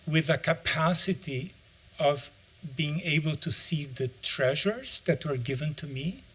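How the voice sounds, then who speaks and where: A man's voice: fairly high pitch, unhurried, a bit bright, breathy edge. Reflective middle-aged man. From Brazil. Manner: reflective, searching for words. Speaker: author and anthropologist. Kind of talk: interview answer.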